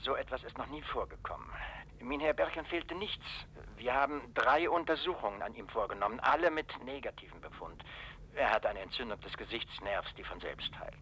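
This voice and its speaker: Male doctor for radio drama: precise, formal, regretful, with a forceful bright edge. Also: mid-range voice, lively intonation, brisk, rough recording. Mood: apologetic. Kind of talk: radio crime drama acting.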